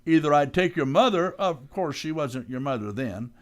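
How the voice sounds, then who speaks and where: An actor playing a blustery, bumbling, well-meaning working-class dad in a live old-time radio comedy, with folksy, ungrammatical, theatrical talk. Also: male voice, on the high side, expressive, moderate pace, lightly acted. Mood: reassuring, folksy.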